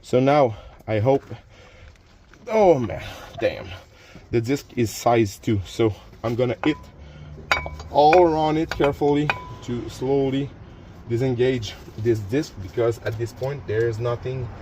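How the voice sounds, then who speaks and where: French-native man talking through a car repair casually, mid-pitched voice, faint noise behind. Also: measured pace. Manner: plain explanations, frequent fillers and restarts. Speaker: DIY car repair youtuber. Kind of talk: casual how-to narration while working. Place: garage or driveway by a car.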